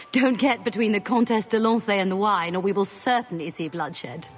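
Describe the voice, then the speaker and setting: A woman's voice: mid-range voice, moderate pace, soft background music, close to an everyday voice. A woman voicing a poised, gossipy society guest in a video game cutscene. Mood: amused discretion.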